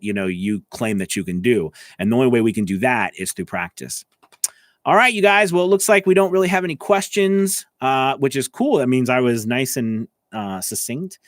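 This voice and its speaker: A man's voice: medium pitch, no room sound. A man with a mid-range voice gives informal coaching to livestream viewers. He speaks straight to the listener in an encouraging, conversational manner. The sound is dry, with very little room in it. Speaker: voice acting coach hosting a live stream.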